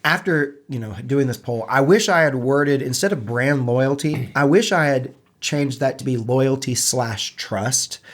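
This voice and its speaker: A man's voice: mid-range voice, unhurried, hardly any pauses. A man hosts a laid-back gaming podcast, his voice a bit stuffed up from allergies. He talks in an easygoing, chatty way and pokes fun at himself. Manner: relaxed, chatty, self-deprecating. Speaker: podcast co-host. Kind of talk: casual gaming podcast chat.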